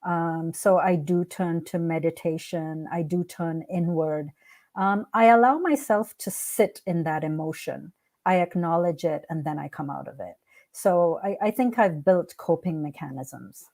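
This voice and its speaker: A woman with a fairly low, soft-edged and mellow voice speaks as an interview guest. Her native language is Hindi. She answers conversationally, in a reflective and earnest manner. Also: measured pace. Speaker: podcast guest, art curator and founder.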